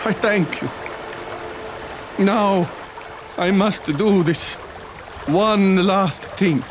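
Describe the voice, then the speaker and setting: A tortured old priest in a radio drama. He speaks very slowly and gravely, intoning with doom-laden fervor and guilt. Rushing rain and water sound clearly behind his voice. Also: high-pitched, a little hiss or hum. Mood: solemn, weary. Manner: grave, solemn, intoning prayers.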